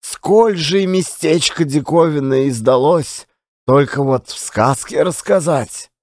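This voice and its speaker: High-pitched man spinning a slow folksy tale. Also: a little mellow, dry recording, clean studio sound. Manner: folksy, colloquial yarn-spinning. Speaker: audiobook narrator, actor. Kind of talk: dramatic storytelling narration of a classic tale.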